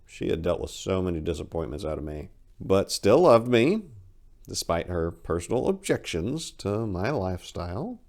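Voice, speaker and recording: A man reading his own short story aloud as a dramatic, expressive narration of literary horror. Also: deep voice, creaky.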